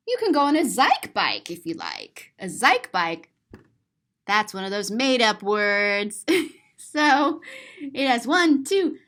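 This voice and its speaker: Female children's storyteller, high voice, very slow delivery, sing-song and expressive, stretching key words for young listeners. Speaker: children's story reader and teacher. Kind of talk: animated read-aloud picture book storytelling for children.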